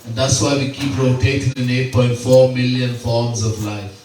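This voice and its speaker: A man, a swami, giving a slow devotional sermon at a home gathering, repeating key phrases and mixing in Hindi terms. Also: medium pitch, level tone, darkish tone, slight background noise. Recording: very echoey room, mic far off.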